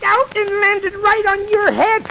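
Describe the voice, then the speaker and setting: An actor playing a flustered, folksy old landlord who stammers and repeats himself in a vintage radio comedy. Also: male voice, extremely high pitch, fairly even intonation, measured pace, gravelly, heavily acted. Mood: flustered apology. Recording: noisy old radio recording, audience behind.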